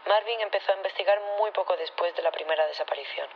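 A woman's voice: fairly low pitch, quick pace, muffled recording, slight background noise, lightly acted. An actress playing a loyal, earnest woman witness in an audio drama, insistent and repeating her words as she defends her partner. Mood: earnest, defensive.

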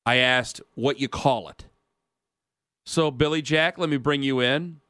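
A man's voice: medium pitch, unhurried, pushed and bright, smooth, dry recording, studio quality. A man in his thirties hosting a radio sports talk show, rambling in casual banter with self-mocking asides. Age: 30s. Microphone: studio mic.

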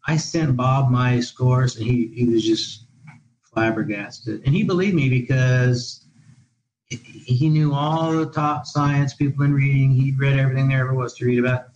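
A man's voice: mid-range voice, fairly even intonation, moderate pace, darkish tone, dull audio, slight background noise. A man who is a guest expert on an education podcast, talking in long, discursive answers with many restarts. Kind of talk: podcast interview. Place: living in Portugal.

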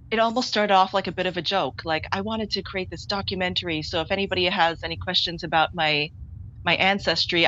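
A woman filmmaker being interviewed remotely, speaking candidly and haltingly with frequent restarts. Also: low-pitched, sharp tone, highs cut off. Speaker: filmmaker guest. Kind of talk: interview over a remote link.

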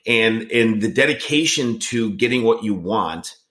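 A man's voice: lowish voice, expressive, measured pace, a bit bright. A man in his late forties hosting a casual interview, talking in an earnest, rambling way with frequent restarts. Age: 40s. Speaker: podcast host in recovery.

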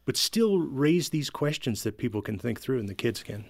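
Male guest, medium pitch, earnest conversational explainer, dry close sound. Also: lively intonation, moderate pace, vocal fry, dry recording. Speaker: guest, audio drama team member. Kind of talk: conversational interview answers.

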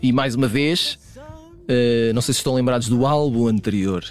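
A man presents music on a radio show with a voice of middle pitch. He hesitates and restarts often, filling gaps with sounds. Soft music plays faintly under his talk. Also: a little fast. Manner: hesitant, many fillers and restarts. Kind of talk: casual radio chat.

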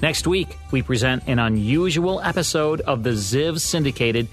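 Male American radio host, mid-range pitch, scripted narration, faint music underneath. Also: expressive, moderate pace. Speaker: radio show co-host and producer.

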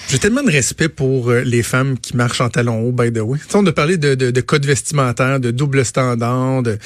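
Man in his 30s, Québécois accent, mid-pitched voice, casual radio co-host, informal storytelling, dry studio sound. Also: a little fast, dry recording. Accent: Québécois French.

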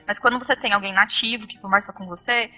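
A Brazilian woman in her thirties chatting as a podcast guest, lively and talkative. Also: medium pitch. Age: 30s. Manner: lively, chatty, quick-talking. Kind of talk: casual podcast chat.

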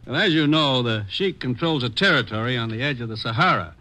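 A brisk American agency chief from a vintage radio play. He is businesslike and dry, with a bright, forceful voice at medium pitch. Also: animated. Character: brisk, dry male government agency commissioner. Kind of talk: 1950 radio drama acting.

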